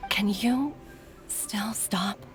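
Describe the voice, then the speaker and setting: Gentle mother and doctor, weary, measured, earnest, dramatic game acting, medium pitch, soft music behind. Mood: somber, pleading.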